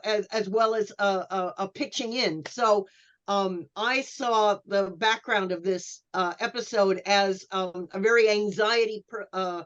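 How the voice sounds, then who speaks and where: A woman speaking as a panelist, giving detailed historical background in an unscripted, halting, scholarly way over a dull online call. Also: medium pitch.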